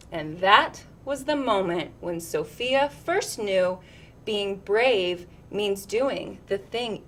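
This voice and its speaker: A woman reading a children's picture book aloud, expressively, with chatty asides. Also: medium pitch. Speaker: storytime reader.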